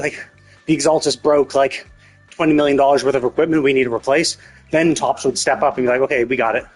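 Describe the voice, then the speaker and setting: A man doing casual live game stream commentary, rambling and thinking out loud with casual swearing. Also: medium pitch, breathy edge. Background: faint game music.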